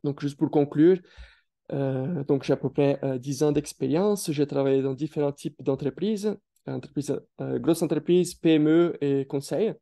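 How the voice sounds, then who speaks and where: Man, thirties, very mellow dark tone, slightly high pitch, halting with many fillers, video call. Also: gravelly. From Brazil. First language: Portuguese. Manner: hesitant, many fillers and restarts. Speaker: guest speaker. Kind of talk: career testimony on a webinar.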